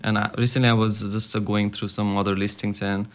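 A young student from Nepal speaks English in a fairly low voice. His answers are earnest, and he pauses a lot as he looks for words. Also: little pitch movement. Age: young adult. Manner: earnest, hesitant with fillers and restarts. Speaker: student guest. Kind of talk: interview answers.

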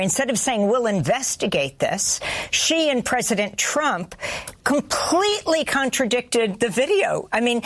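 A woman presents a news interview programme in a fairly high voice. She introduces guests and lays out questions for them, recorded dry with very little room sound. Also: no echo.

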